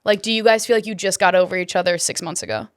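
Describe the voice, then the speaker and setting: A woman comedian, a guest on a podcast, with a high speaking voice, chatting earnestly and giggling often. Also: fast, no echo, studio quality. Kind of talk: casual comedic chat.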